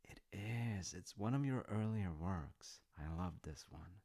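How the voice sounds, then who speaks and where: A man playing a warm, gently teasing dad in an intimate audio roleplay, speaking thoughtfully and reassuringly with a gravelly voice. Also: low-pitched, expressive, measured pace, exaggerated voice. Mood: fond, reassuring. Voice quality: gravelly, creaky. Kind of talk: intimate audio roleplay, slice-of-life acting.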